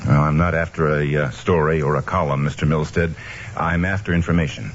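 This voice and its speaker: A man's voice: a bass voice, fairly even intonation, brisk. A man playing a kindly, determined young newspaperman in an old-time radio drama, acting and narrating in a matter-of-fact, clipped way. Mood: inquiring. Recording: dull, noisy old radio recording.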